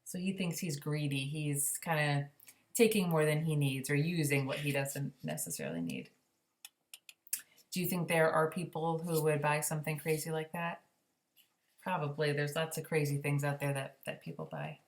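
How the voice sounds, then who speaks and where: A woman reading a children's story aloud expressively, pausing to explain it in simple words. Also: deep voice.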